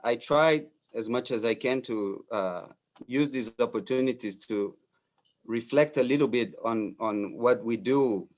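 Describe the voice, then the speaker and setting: A Brazilian architect in his forties giving a talk in English as a second language, halting with frequent fillers and restarts. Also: mid-range voice. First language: Portuguese. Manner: hesitant, many fillers and restarts. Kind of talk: lecture presentation.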